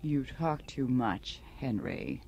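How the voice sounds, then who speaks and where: An actress playing a genteel older hostess in a radio drama, polite but pointed and controlling. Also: female voice, extremely low pitch, sing-song, slow pace, gravelly, mellow, muffled recording, a little hiss or hum, exaggerated voice. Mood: prying.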